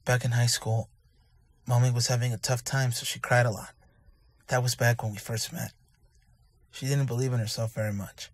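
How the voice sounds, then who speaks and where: A man playing a gentle, grieving young father in an anime fan dub, speaking softly and haltingly with remorse and tenderness. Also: mid-range voice, slightly rough, natural voice. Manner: soft, halting, emotional delivery with sighs.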